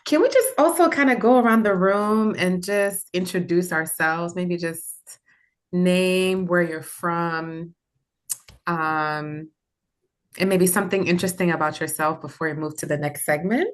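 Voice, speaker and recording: Ghana woman hosting a video call, lowish voice, slow and gentle. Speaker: host. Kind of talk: casual group video call.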